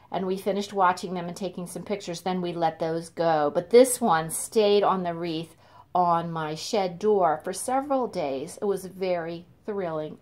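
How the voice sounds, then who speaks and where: A mature woman hosting storytime for young children, speaking expressively with drawn-out emphasis. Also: on the low side, a little mellow. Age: middle-aged or older.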